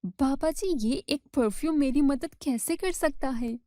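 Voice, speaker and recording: A woman's voice: high-pitched, measured pace, warm tone, dry recording, clean studio sound, pitched above the performer's own voice. A woman voicing an earnest village matchmaker woman in a storybook tale. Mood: worried, puzzled.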